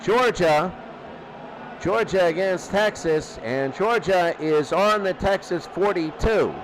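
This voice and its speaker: Male play-by-play announcer, high voice, excitable and informal, repeating short phrases over clearly audible background noise. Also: takes it slowly. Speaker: play-by-play commentator. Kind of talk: informal play-by-play football commentary. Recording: noisy, steady background rumble.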